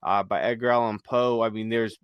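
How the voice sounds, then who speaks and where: A man's voice: fairly low pitch, fairly even intonation, a little fast, clear, resonant tone, no echo, lo-fi sound. A man co-hosting a podcast, enthusiastically recommending things in a casual chat and repeating phrases for emphasis. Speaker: podcast co-host.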